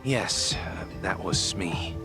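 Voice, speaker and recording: An actor playing a reserved, formal middle-aged male clinic director with a measured delivery in an English video game dub. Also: sits low, lively intonation, loud music behind the voice. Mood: regretful.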